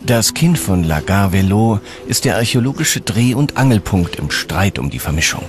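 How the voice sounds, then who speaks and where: A man's voice: on the low side, lively intonation, normal speed. A man reading scripted documentary narration as the narrator. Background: background music.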